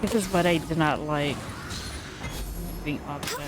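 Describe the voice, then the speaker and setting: A woman's voice: deep voice, normal speed. A woman giving casual gameplay commentary, thinking aloud with some hesitation. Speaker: game streamer. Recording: clearly noisy background.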